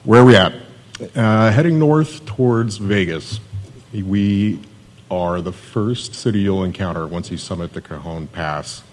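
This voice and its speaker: Deep monotone man pitching his city. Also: unhurried. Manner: self-described monotone, steady with fillers. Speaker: economic development manager. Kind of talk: conference pitch presentation.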